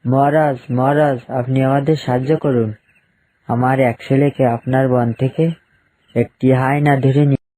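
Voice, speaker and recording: A man's voice: medium pitch, level tone, moderate pace, dark tone, lightly acted, low-quality recording. A man voicing a caring, protective dinosaur father in a children's cartoon. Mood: worried, pleading.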